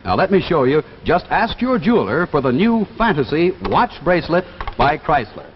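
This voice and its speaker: A man gives an emphatic American sales pitch in 1950s television style. His voice sits fairly high and swings expressively. The old soundtrack rings with a long reverb. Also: big pitch swings, moderate pace. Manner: polished, emphatic. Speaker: commercial announcer. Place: television studio. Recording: dull vintage TV soundtrack, reverberant, faint noise.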